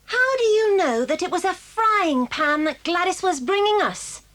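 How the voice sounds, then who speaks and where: A woman's voice: extremely high pitch, lively intonation, a little slow, fairly bright tone, heavily acted. An actress playing an indignant, plucky schoolgirl in an audio drama, scolding and emphatic. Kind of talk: 1980s audio drama acting.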